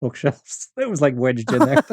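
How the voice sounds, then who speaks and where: A man's voice: on the high side, big pitch swings, slow, no echo, clean studio sound. A middle-aged man speaking as a podcast guest in a casual, rambling, reminiscing chat. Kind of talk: casual interview chat about fandom and collecting.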